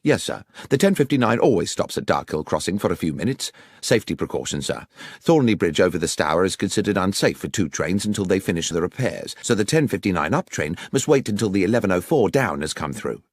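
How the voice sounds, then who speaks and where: Audiobook station master, acted by a man: deep, respectful, orderly, plain-spoken, dry close sound. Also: expressive, a little fast, breathy edge, no room sound, full character voice. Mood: matter-of-fact. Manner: plain, dutiful, sure of himself. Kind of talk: audiobook character voice.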